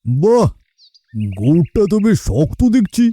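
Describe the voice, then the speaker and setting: A man voicing a gruff village headman in a cartoon. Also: a little high, very animated, measured pace, dark tone. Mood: sceptical. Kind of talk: cartoon voice acting.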